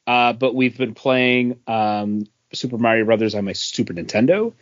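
A man co-hosting a podcast, telling anecdotes in an informal, halting, conversational way. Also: mid-range voice. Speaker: podcast co-host. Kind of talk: casual chat and anecdotes. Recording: dry but dull lo-fi audio.

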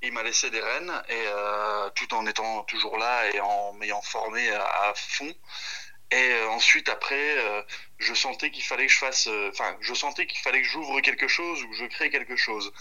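A man's voice: medium pitch, moderate pace, bright, forceful tone. A man in his thirties chatting casually on a phone call as a guest, hesitant and thinking aloud. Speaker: chef, podcast guest. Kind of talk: casual chat over the phone.

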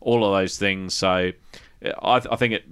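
An Australian man hosting a gaming podcast, chatting casually and haltingly with plenty of swearing and colloquial turns. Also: low-pitched, steady tone, a bit bright, clean tone. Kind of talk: casual chat.

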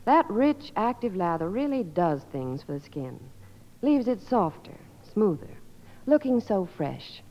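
A woman's voice: medium pitch, very animated, measured pace. An American woman delivering scripted 1950s radio advertising as a spokeswoman. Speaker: commercial spokeswoman. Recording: muffled old radio recording, hall reverb.

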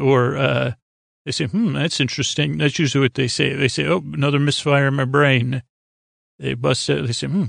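A man with a gravelly voice hosting a bedtime podcast, meandering haltingly and stumbling over his words as he wanders off on tangents. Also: medium pitch, dry recording, clean studio sound. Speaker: podcast host. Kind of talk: rambling bedtime podcast monologue.

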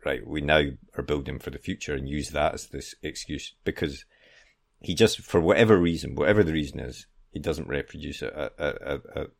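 A man's voice: extremely low pitch, creaky. A man co-hosting a football podcast, talking casually and giving his opinions freely.

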